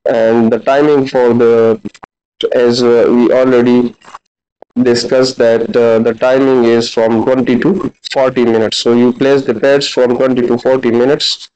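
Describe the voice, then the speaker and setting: A man in his thirties giving a halting, instructional practical demonstration as a physiotherapy teacher. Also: medium pitch, flat delivery, mellow, clear, resonant tone. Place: large indoor classroom clinic room. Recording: dull, lo-fi camera audio.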